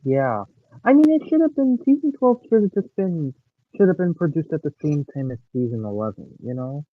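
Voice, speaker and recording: Lively teenage guy on a tinny line. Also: fairly high pitch, moderate pace, dry recording. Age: late teens. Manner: hesitant, animated, opinionated fan. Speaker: stream guest. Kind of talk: casual chat. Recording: tinny phone-like call line with faint hiss.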